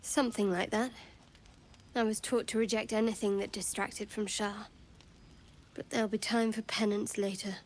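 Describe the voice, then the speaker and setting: A woman's voice: a little high, close to an everyday voice. Woman playing a guarded, wry young cleric. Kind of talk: fantasy game acting.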